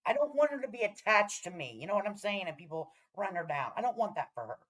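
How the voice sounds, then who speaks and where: A woman's voice: mid-range voice, sing-song, fast, fairly bright tone. A woman hosting a casual livestream, chatting to viewers in a brash, profane way. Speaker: livestream host.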